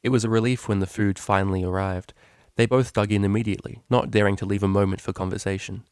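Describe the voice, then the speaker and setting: A man's voice: fairly low pitch, steady tone, fast, darkish tone, clear, resonant tone, no room sound. A man narrating a story as a reader, in scripted storybook style. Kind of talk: narrated fan fiction reading.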